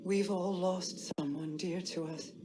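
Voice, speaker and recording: An actress playing a solemn woman leader in a video game, guarded yet compassionate, with earnest, formal, deliberate phrasing. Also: fairly low pitch, fairly even intonation, close to an everyday voice. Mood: grave, consoling. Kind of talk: video game cutscene dialogue. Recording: clear background ambience noise.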